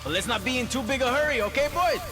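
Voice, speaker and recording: A man's voice: very high-pitched, expressive, fast talker, over music. A man playing a cocky, clownish cartel pirate radio DJ with a showy announcer delivery in a game. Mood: gleeful.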